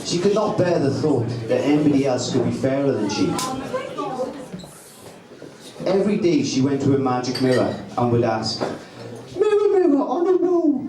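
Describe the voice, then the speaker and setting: Man narrating pantomime over a loud crowd. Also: mid-range voice, expressive, fairly quick, hardly any pauses. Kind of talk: scripted storytelling narration on stage. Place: club room during live pantomime show. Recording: roomy hall, distant mic, loud audience. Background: loud audience crowd.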